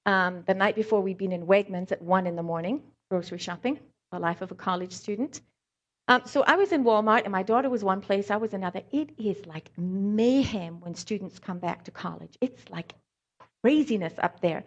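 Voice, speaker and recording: A middle-aged woman preaches in a relaxed, chatty way, her light Scottish and South African accent showing in a few words. She mixes scripture with wry stories and plenty of ums, her pitch sitting mid-range for a woman, heard on a slightly dull, muffled recording. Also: normal speed. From born in Scotland, raised in South Africa. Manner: informal, frequent ums, wry humor. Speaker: lay preacher, hand therapist. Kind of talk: conversational sermon with anecdotes.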